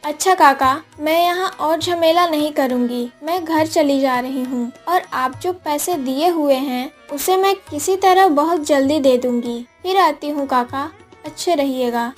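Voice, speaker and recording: High-pitched, earnest young village daughter voiced by a woman. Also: a little slow, faint music behind. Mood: hurt, pleading. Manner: earnest, pleading. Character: poor, devoted, hopeful young village daughter. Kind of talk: Hindi moral story cartoon dialogue.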